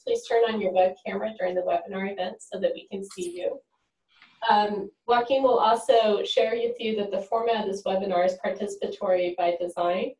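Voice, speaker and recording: A woman's voice: medium pitch, mellow. A woman hosting a webinar, reading an upbeat introduction of the speaker. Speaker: webinar host. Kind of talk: scripted introduction. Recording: echoey, from far off the mic.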